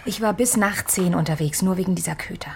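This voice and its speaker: An actress playing a wry, quick-witted amateur sleuth in a radio crime drama, speaking in a matter-of-fact way. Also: female voice, lowish voice, gravelly, a little mellow. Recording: faint noisy ambience behind.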